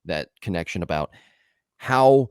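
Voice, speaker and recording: Upbeat low-voiced man hosting. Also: expressive, unhurried, a little mellow, smooth, no room sound, studio quality. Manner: upbeat, talkative, tangent-prone. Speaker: podcast host. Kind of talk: casual podcast chat.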